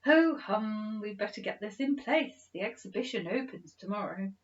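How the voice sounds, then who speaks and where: A woman voicing an absent-minded, excitable elderly male professor, gentle and playful, in a children's storybook reading. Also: mid-range voice, normal speed, dull audio, close to an everyday voice. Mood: bashful.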